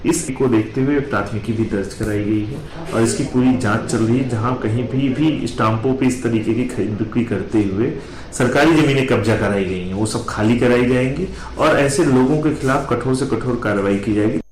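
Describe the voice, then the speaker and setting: A man's voice: medium pitch, normal speed, darkish tone, a little hiss or hum. A man speaking as a district official, giving a matter-of-fact, bureaucratic statement to the press. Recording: echoey room with chatter behind.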